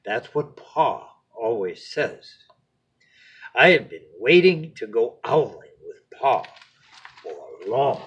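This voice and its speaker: Husky man reading a bedtime story, slowly. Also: mid-range voice, big pitch swings, highs cut off. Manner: unhurried, dramatic pauses, dropping to a hush at phrase ends. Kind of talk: expressive read-aloud of a children's picture book.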